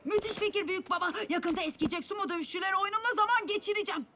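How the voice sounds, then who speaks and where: A woman's voice: very high voice, racing. A woman voicing an impatient, scheming, melodramatic young boy in a cartoon, with a brash delivery. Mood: breezy. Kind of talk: Turkish cartoon dub acting. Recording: loud music behind the voice.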